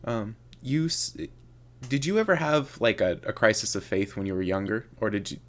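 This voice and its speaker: A man's voice: on the low side, animated, normal speed. A man hosting a podcast, asking casual, loosely phrased questions with many fillers. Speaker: podcast host.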